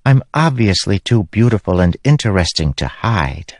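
A man's voice: on the low side, big pitch swings, normal speed, darkish tone, no echo, voice pushed up. A small, childlike female spirit voiced by a male audiobook reader, playful and a bit vain. The voice is lifted well above the reader's own and moves expressively, recorded close and dry. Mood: playfully vain.

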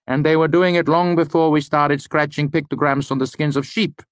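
A man's voice: on the high side, level tone, moderate pace, no room sound, pitched above the performer's own voice, natural voice. A man voicing an eccentric, imperious university lecturer in an audiobook, abrupt and dismissive, with a theatrical manner. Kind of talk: audiobook character dialogue.

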